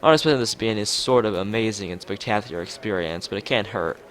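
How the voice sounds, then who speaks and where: A man reading a visual novel aloud as a let's player, casually, with halting, rambling asides. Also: fairly low pitch, fairly bright tone. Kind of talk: casual game narration with side commentary. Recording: music behind the voice. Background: game music.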